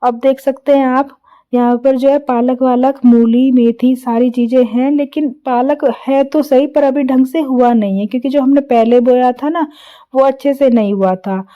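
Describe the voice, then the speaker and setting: A hoarse woman talks through her evening chores in an easy, rambling voice-over, her throat rough from a cold. Her voice sits a little high, and the recording sounds dull and lo-fi. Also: steady tone, normal speed. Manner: chatty, homely, many fillers. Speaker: vlogger. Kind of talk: casual voice-over narration of daily chores.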